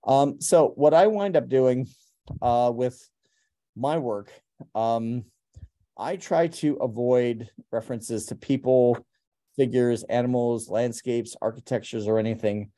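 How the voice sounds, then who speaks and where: A man presenting his art over slides on a video call, talking haltingly with many ums and restarts. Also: medium pitch, mellow. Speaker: artist presenting his work. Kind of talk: conference talk over slides. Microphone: webcam on a video call.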